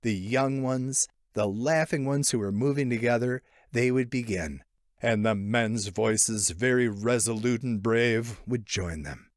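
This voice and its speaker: A man narrating a literary short story in an expressive, theatrical way. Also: medium pitch, measured pace, no echo, studio quality. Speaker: audiobook narrator.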